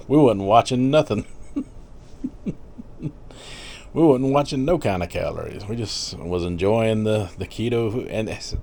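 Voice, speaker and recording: A man's voice: fairly low pitch, expressive, unhurried, long pauses, a little mellow, a little hiss or hum. A man in his fifties chatting casually in a rambling vlog, full of fillers and little laughs. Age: 50s. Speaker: vlogger.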